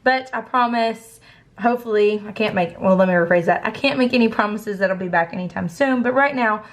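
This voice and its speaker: A young woman with laryngitis, hoarse, chatting casually through a planner haul. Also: mid-range voice, fairly quick. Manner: chatty, describing items as she flips through them. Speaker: planner vlogger.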